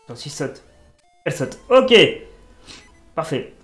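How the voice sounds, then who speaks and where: A man's voice: fairly high pitch, sing-song, slow, roomy sound, faint music behind. A man with a cold commenting casually on a video game as he plays, joking and talking to himself and the characters. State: has a cold. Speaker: gaming YouTuber. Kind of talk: casual let's-play commentary.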